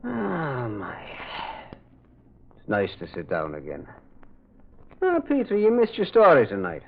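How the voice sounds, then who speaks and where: An actor playing a gentle, earnest priest detective in an old radio mystery, kindly and moralizing, with a hesitant way of speaking. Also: male voice, medium pitch, wildly swooping pitch, a little slow, full character voice. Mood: mild, reflective. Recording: thin, phone-like old radio recording.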